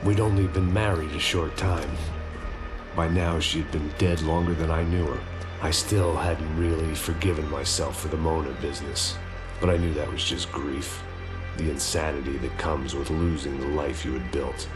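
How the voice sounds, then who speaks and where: An actor voicing a grieving, weary ex-cop who narrates in a bitter noir style. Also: male voice, very deep voice, fairly even intonation, moderate pace, over music. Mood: brooding. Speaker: game protagonist narrating.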